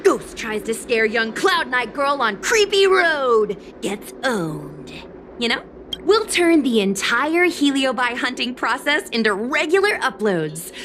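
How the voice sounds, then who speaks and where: Cheeky, lively high-voiced girl. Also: slow pace, a little hiss or hum, heavily acted. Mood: boastful, persuasive. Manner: animated, breezy, persuasive. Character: cheeky, chatty, confident young streetwise girl influencer. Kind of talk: anime game dialogue acting.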